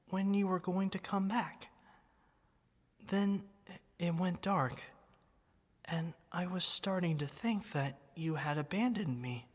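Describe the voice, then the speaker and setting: A sweet living teddy bear, acted by a woman in an ASMR roleplay, talking slowly with big swoops of pitch. The manner is tender and wistful, as if to a dear childhood friend, and a long reverb trails the voice. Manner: gentle, expressive, addressing the listener as an old friend.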